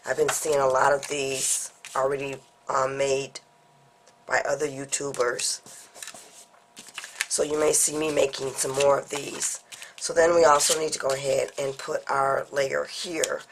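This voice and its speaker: A congested woman with a low, stuffy voice walks viewers through a papercraft project step by step. She chats easily and explains each move as she works. A faint hiss of noise sits under her voice. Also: level tone, unhurried. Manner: chatty, explains each step while working. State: congested from a cold. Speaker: papercraft tutorial host. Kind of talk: step-by-step craft demonstration.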